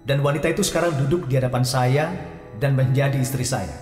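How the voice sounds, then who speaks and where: A man's voice: mid-range voice, fairly even intonation, fairly quick, a little mellow. A man tells his own story in a chatty, wry manner, speaking straight to the listeners. His voice sounds distant and echoey, with a long reverb tail and faint music underneath. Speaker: narrator telling his own story as a taxi driver. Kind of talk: scripted first-person audio drama narration.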